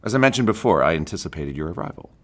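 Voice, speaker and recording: A condescending murder suspect in an audio drama, with a very low voice. He is sardonic and evasive, wrapping his denials in mock politeness and the odd stammer. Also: sing-song, very fast, full character voice. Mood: defensive, condescending.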